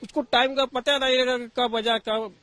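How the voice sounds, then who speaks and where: A man's voice: extremely high pitch, flat delivery, normal speed, sharp tone. A man with an eastern Uttar Pradesh accent giving informal, plain-spoken street interview answers, often repeating his phrases. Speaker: local resident interviewee. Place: on location in a local neighbourhood.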